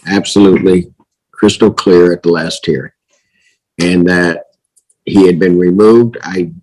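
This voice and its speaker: Low-voiced man, a firm judge. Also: low-quality recording. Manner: firm, authoritative. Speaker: judge presiding over a hearing. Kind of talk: formal courtroom rulings. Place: courtroom.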